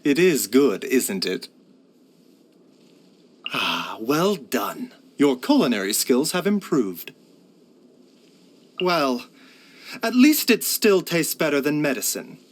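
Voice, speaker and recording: A man playing a gentle, composed physician in a fantasy video game, speaking politely in measured, kindly explanations. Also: mid-range voice, sing-song. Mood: warm, pleased. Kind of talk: scripted video game character voice lines.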